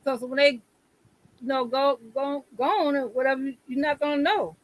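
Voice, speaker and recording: A woman hosting a casual group chat, relaxed and easygoing, giving friendly advice. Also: high voice, moderate pace, dry recording, poor audio.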